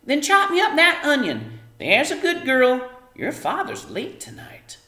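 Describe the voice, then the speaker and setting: Storybook mother, tiny and bossy, male reader: shrill, high-pitched, loudly calling out, roomy echo. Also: wildly swooping pitch, a little slow, full character voice. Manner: loud, calling out from another room.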